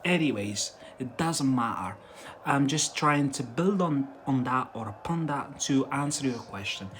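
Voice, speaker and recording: A man's voice: mid-range voice, lively intonation, a little slow, fairly bright tone, slight background noise. Emphatic man teaching English live. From Algeria. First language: Algerian Arabic. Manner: emphatic and repetitive, keeps checking in with "yeah?" and "that's it". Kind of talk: casual live-stream lesson.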